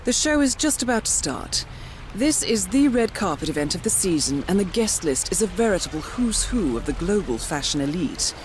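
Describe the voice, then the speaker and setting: An actress playing a poised intelligence handler, giving measured, formal mission briefings in a video game. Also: female voice, on the low side, noisy background. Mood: smooth, inviting. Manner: measured, formal, suave, deliberate. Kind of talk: scripted mission briefing narration.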